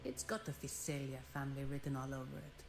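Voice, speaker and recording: A woman's voice: extremely low pitch, flat delivery, fast talker. A woman playing a composed, businesslike young investigator in a video game, formal and matter-of-fact. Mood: wry, confident. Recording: echoey voice under loud music.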